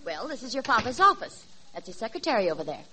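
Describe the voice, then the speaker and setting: Brief: motherly straight woman, high-pitched, animated, scolding yet patient, vintage radio comedy with background noise. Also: fast. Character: exasperated but patient mother of a bratty boy. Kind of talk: 1940s radio comedy sketch acting. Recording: noisy 1940s radio recording, studio audience.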